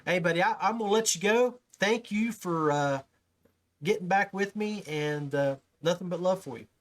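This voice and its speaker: A man's voice: high-pitched, normal speed. An American man hosting a podcast, talking casually in folksy, informal speech. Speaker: podcast host. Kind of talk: casual podcast talk and interview.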